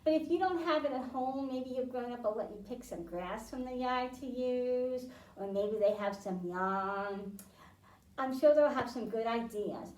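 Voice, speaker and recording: A woman librarian hosting a children's storytime and science lesson in a library, speaking slowly and clearly and asking the kids questions. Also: fairly high pitch, darkish tone. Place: library room, indoors. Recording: echoey room, mic at a distance.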